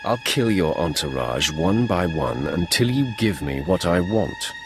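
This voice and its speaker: A man's voice: deep voice, big pitch swings, a little slow, music in the background, full character voice. A male actor playing a ruthless, sardonic Sith warrior in a video game, with a cold and menacing manner. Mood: cold menace. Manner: cold, menacing, dryly arrogant. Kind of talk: video game dramatic acting.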